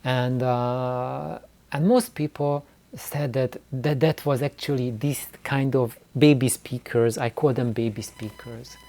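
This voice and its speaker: A man talking casually and unscripted as a video host, in a halting, rambling way that often repeats words. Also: mid-range voice, steady tone, vocal fry, mellow. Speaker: YouTube host of a DIY loudspeaker channel. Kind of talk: casual, unscripted vlog talk. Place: small room indoors.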